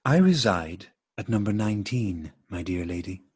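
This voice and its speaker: A man's voice: lowish voice, animated, moderate pace, a little mellow, husky. A man playing a courteous, bemused married man in an absurdist radio play, speaking with formal, repetitive politeness. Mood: polite puzzlement. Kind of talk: absurdist stage dialogue played as radio drama.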